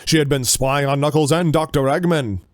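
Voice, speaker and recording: A man's voice: a little high, steady tone, fairly quick, dry recording, studio quality. A man reading scripted narration for an audio drama.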